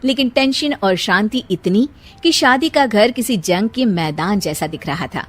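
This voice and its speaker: A woman's voice: mid-range voice, animated, measured pace. A woman reading scripted narration for a family moral-story cartoon.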